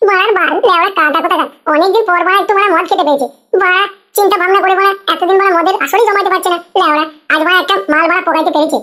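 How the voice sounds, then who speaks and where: A woman voicing a foul-mouthed, grumbling young drunken village man in a crude comic cartoon, ranting with an artificially high-pitched voice. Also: very fast, few pauses, bright, forceful tone. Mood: impatient grumbling. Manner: ranting, swearing constantly.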